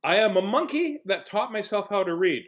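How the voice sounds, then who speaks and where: A man voicing a silly, excitable robot monkey from a children's storybook, in a goofy, exclamatory way. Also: on the high side, moderate pace, lightly acted. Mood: goofy, boastful.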